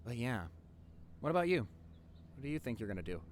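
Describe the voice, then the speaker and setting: Casting: male actor as devoted nerdy young boyfriend for audio roleplay; mid-range voice, lively intonation, earnest and teasing. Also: mid-range voice, brisk, a little hiss or hum, lightly acted. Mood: relaxed, musing.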